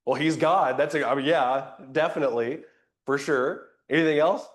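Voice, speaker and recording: A man's voice: a little high, normal speed. A man teaching an interactive Bible class, a little nasal from a cold, conversational and asking the group questions. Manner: conversational, invites comments, rhetorical questions.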